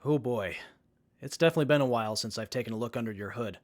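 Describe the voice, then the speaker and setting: Man playing an earnest young mechanic, mid-pitched. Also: quick pace, close to an everyday voice. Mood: keen. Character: earnest, tinkering young male mechanic, apologetic and keen. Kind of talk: post-apocalyptic audio drama.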